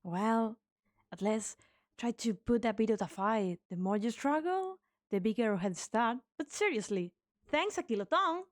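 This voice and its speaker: A woman's voice: fairly high pitch, expressive, moderate pace, lightly acted. A woman voicing a cocky, taunting young female demon mercenary with a sarcastic, playful, mock-polite delivery in an amateur game fan dub.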